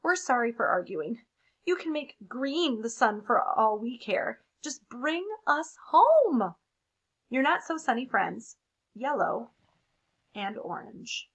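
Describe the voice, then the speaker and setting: Woman as blunt, high-voiced melted crayon pair. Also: big pitch swings, slow pace, fairly bright tone, husky, heavily acted, low-quality recording. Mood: contrite, exasperated. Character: melted-together yellow and orange crayons, apologetic, blunt. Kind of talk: expressive picture-book read-aloud.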